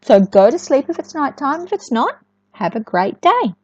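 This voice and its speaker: A woman's voice: high-pitched, big pitch swings, moderate pace, a little mellow, dry recording, highs cut off. A woman telling a picture-book story to young children, with chatty asides and words repeated for emphasis.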